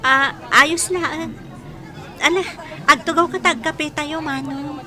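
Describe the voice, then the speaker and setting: Young market woman, high voice, bright tone, girlish, lively, radio drama. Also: slow, some chatter behind, exaggerated voice. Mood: flustered, friendly. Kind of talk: Ilocano radio drama acting.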